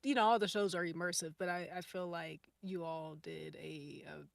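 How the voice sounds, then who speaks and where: A woman's voice: lowish voice, fairly even intonation, moderate pace, creaky, no room sound. A woman chatting casually as a panelist, thoughtful and hesitant as she works out her answers. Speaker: podcast cast or crew panelist. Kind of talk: casual chat.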